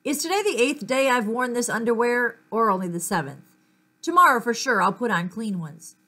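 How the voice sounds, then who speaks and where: A woman's voice: on the high side, expressive, normal speed, sharp tone, voice pushed up. Woman voicing a fretful, silly schoolkid. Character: fretful schoolchildren's private, silly everyday worries.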